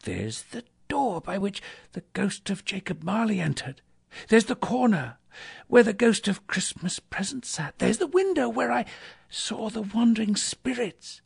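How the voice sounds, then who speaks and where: A woman's voice: a little high, big pitch swings, moderate pace, creaky, breathy edge, no room sound, clean studio sound, pitched above the performer's own voice, exaggerated voice. A woman voicing an elderly male miser from a storybook, giddy with joy and exclaiming in breathless, repeated bursts. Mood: giddy wonder. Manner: exuberant, exclamatory, breathlessly repetitive. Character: elderly miser man, reborn giddy and overjoyed.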